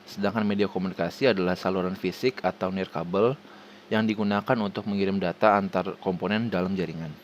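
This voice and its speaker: A man with an Indonesian accent reading a formal scripted presentation haltingly, stumbling over words and restarting them. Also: low-pitched, steady tone, slight background noise. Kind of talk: scripted presentation read aloud.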